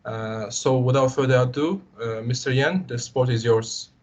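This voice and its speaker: A man hosting an online event, speaking in a polite, halting, formal way. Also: mid-range voice, darkish tone. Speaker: event host introducing the speaker. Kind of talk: formal introduction. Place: online video call. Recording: dull lo-fi online call audio.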